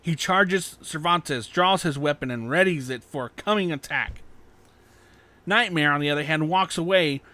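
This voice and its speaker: Bright-voiced man narrating an action story. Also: a little high, normal speed.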